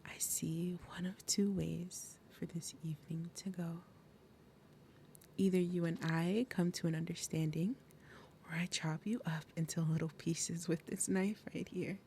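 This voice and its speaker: A woman voicing a big, possessive demon mother in an ASMR roleplay, falsely sweet and threatening, speaking deliberately and dropping into breathy murmurs. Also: on the low side, steady tone, mellow, a little hiss or hum. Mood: coolly threatening. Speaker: roleplay audio performer. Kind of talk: ASMR roleplay, acted monologue.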